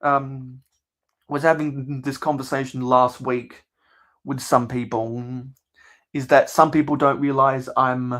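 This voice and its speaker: A young man with a mild Australian accent, chatting casually as a channel host in a measured, halting way that circles back on his phrases. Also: medium pitch, flat delivery, a bit bright. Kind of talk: casual livestream chat.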